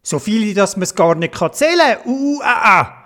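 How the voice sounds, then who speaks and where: A man's voice: high-pitched, very animated, normal speed, fairly bright tone, pitched above the performer's own voice. A man with a Swiss German accent voicing a whiny, childish little creature in a children's story, with wailing oohs and aahs. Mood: tearful, wondering. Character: whiny, childish male creature, tearful best friend.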